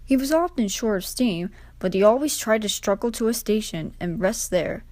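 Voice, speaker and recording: A woman voicing a wise old male steam engine in a children's storybook, measured, earnest and gently reproving. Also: mid-range voice, lively intonation, no room sound, natural voice. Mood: earnest reminiscing.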